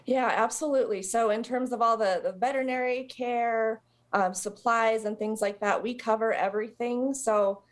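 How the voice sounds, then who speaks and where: A woman's voice: fairly high pitch, steady tone, moderate pace. American woman explaining in a live interview. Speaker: interview guest, service dog charity representative.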